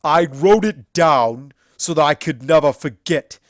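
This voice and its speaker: A narrator voicing an angry, deluded male alicorn stallion in a story reading, shouting and incredulous. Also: medium pitch, measured pace, no echo, clean studio sound, pitched above the performer's own voice, close to an everyday voice. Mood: defiant fury.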